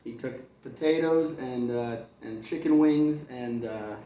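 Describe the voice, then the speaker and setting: A male kindergarten teacher reads a picture book aloud, wandering off into chatty asides and little chuckles. His pitch is mid-range for a man, and the sound bounces around a roomy, echoing space. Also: a little slow, warm tone, muffled recording. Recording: echoey room.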